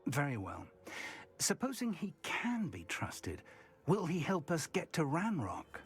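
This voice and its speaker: A man playing a serious schoolmaster wizard in a video game, formal and measured, with a husky, creaky voice. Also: a little high, huge pitch swings, a little hiss or hum.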